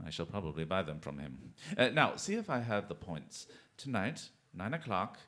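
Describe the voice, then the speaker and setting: An actor playing a witty, self-assured gentleman detective in a radio drama, dry, wry and commanding. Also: deep voice, sing-song, moderate pace. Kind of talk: theatrical radio drama acting.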